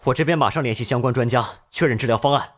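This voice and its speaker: Man acting a husky, courteous doctor. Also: mid-range voice, rapid-fire, close to an everyday voice. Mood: agreeable, obliging. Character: courteous, deferential male hospital doctor. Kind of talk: animated crime drama voice acting.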